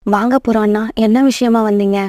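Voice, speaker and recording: A woman's voice: medium pitch, steady tone, moderate pace, dark tone, no room sound, studio quality, natural voice. A woman voicing a caring, admonishing mother sparrow in a children's cartoon. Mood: consoling.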